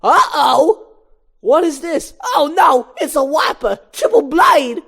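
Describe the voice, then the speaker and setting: A frantic, loudmouthed male mosquito from an animated film, played by a woman. The voice is pushed very high and loud, yelling in a brash stand-up comic's style. The manner is wild and streetwise. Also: slow, full character voice. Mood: panicked yelling. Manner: yelling, impersonating a brash comedian. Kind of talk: animated film script read-through.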